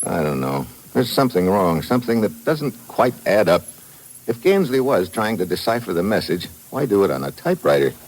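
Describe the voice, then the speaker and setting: An actor playing a tough, shrewd investigator in a 1950s radio thriller, with clipped, matter-of-fact delivery. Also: male voice, lowish voice, sing-song, a little fast. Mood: musing, shrewd. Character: tough, shrewd male investigator narrating his own case. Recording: noisy old radio recording.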